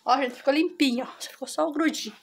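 A middle-aged woman talks to her viewers in a bright, high voice. She walks them through a simple recipe at home on her farm and keeps turning to them with "gente". A faint hiss of noise sits under her voice. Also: fast. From Brazil. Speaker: cooking vlogger. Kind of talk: casual cooking demonstration.

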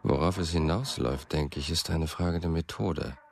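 A man's voice: extremely low pitch, normal speed, creaky, a little mellow, soft background music. An actor playing a brooding, obsessive man, giving a grim, controlled, intimate inner monologue in a radio drama. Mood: grim, determined. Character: brooding, obsessive man narrating his dark thoughts.

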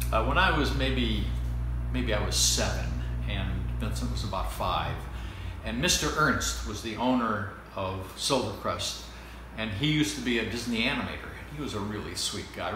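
A man's voice: lowish voice, fairly bright tone. An American man in his seventies telling rambling, wry anecdotes in a casual, playful way, as if for an oral history. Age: 70s. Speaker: interviewee, storyteller. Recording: echoey room with clear hum and noise.

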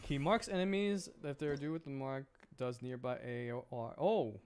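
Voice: mid-range voice, normal speed. A streamer giving casual live gameplay commentary, talking to themselves and the game characters, repeating phrases as they react. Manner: animated, reactive. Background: game music.

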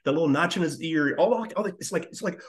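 A man in his fifties hosting a casual chat, talking eagerly and excitably and repeating his words. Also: fairly high pitch, fast talker. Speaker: interview host. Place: living room at home on a video call. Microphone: computer mic on video call.

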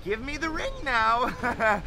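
An actor playing a sly, falsely friendly hooded cult leader who keeps cackling, recorded outdoors by a beach in a kids' video. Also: male voice, very high-pitched, animated, takes it slowly, a loud crowd, exaggerated voice, rough recording. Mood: scheming. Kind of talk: kids' family video acting. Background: music.

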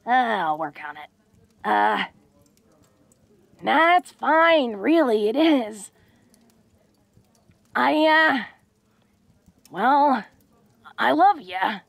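Woman acting a gravelly, tough young orc sweetheart. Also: a little high, dry recording, full character voice. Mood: awkward, tender. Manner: casual, folksy, hesitant with frequent stammers and "huh?" tags. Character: tough, bold young orc woman, secretly awkward and tender. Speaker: voice actor in audio roleplay. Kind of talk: intimate romantic audio roleplay acting.

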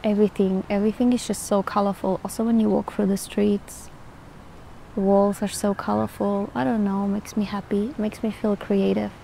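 A young woman from Austria, chatting casually to the camera as a vlogger, relaxed and talkative. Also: mid-range voice, normal speed, vocal fry, slight background noise, low-quality recording. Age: young adult.

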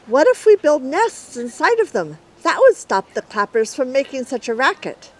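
A woman voicing an eager sparrow in a children's storybook, excited and emphatic. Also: high-pitched, moderate pace, voice pushed up. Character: eager sparrow proposing a plan, storybook animal.

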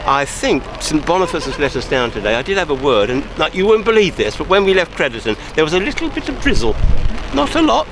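A man's voice: fairly high pitch, big pitch swings, quick pace, noisy background. An older man chatting in a rambling, jokey way, interviewed outdoors at a rainy show ground close to the camera. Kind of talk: casual interview. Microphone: camera held close.

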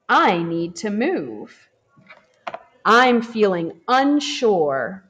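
A woman reads a children's picture book aloud at an unhurried pace, the way a teacher would to a class. Her pitch moves widely and expressively, rising and falling to keep young listeners engaged. The delivery stays gentle and warm. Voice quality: expressive and animated, slow and gentle. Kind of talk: children's storybook read-aloud. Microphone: camera over the book, hands turning pages.

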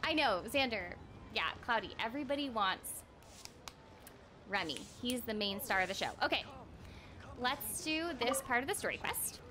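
A woman's voice: a little high, lively intonation, moderate pace, pauses often, noisy background. A young woman chatting casually and playfully on a livestream while she plays a video game. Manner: playful, bubbly, giggly. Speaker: streamer and voice actor. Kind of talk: casual livestream chat over gameplay. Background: video game music.

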